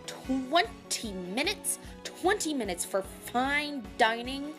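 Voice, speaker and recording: A woman playing a brash, hot-tempered chef in an audio drama, gruff and sarcastic, cursing mildly in food words. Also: high voice, big pitch swings, takes it slowly, exaggerated voice. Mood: irritated. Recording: music behind the voice.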